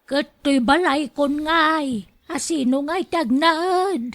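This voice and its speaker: A woman acting a frail, stubborn elderly grandmother in a radio drama, with a drawn-out, complaining delivery. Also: high-pitched, fairly bright tone.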